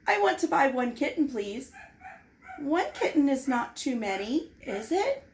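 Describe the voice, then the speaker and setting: Earnest storybook little girl, female reader acting: high register, animated, exclaiming, childlike phrasing. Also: a little slow. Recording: noticeable background noise.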